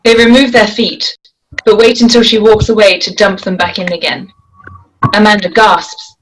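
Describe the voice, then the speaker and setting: A woman's voice: mid-range voice, fairly even intonation, normal speed, rough recording. Woman narrating a screenplay, some noise. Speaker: narrator reading stage directions.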